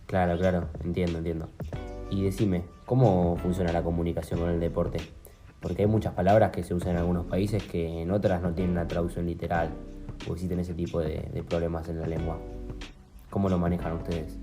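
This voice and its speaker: A man co-hosts an interview, his voice very deep. He introduces guests and asks questions in a friendly tone. Music plays clearly behind him. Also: level tone, brisk. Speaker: podcast co-host. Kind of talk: interview hosting.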